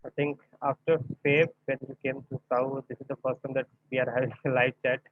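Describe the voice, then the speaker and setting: A man's voice: mid-range voice, level tone, a little fast, no room sound. Male moderator, thin phone-quality call audio, dry sound, courteous and deferential introductions. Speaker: moderator of an academic online event. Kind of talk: introducing a speaker, semi-formal. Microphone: video call. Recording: phone-like, narrow-band online call audio.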